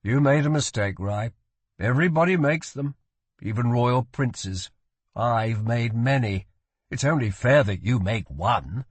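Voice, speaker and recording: A man voicing a guarded magician in an audiobook, a calm and protective brother who speaks in a measured, earnest way. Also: mid-range voice, husky, no echo. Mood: reassuring.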